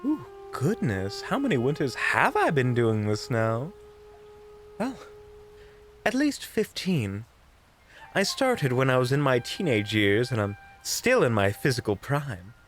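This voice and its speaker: A man playing a witty, unflappable investigator in an audio roleplay, with a coy, theatrical, mockingly polite and drawn-out delivery. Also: mid-range voice, sing-song, a little hiss or hum, voice pushed up. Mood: playful, chatty. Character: flamboyant, unflappable, witty investigator in their prime. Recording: background music.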